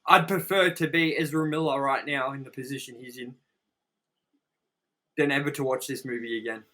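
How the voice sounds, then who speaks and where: A man's voice: mid-range voice, fairly even intonation, moderate pace, bright, forceful tone. A man co-hosting a casual movie review chat, rambling emphatically and swearing freely. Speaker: review show co-host.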